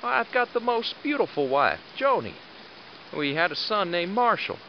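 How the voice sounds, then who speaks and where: A man playing a blunt, commanding, gruffly fatherly squad leader with a sarcastic edge in an audio drama. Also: sits high, very animated, moderate pace, dull audio, a little hiss or hum. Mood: reflective, gruff.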